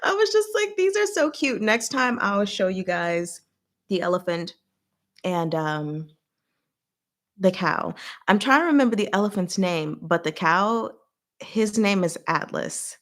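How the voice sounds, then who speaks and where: A woman hosting a livestream, rambling casually in a chatty, giggly way with animated reactions. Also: medium pitch, unhurried. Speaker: livestream host.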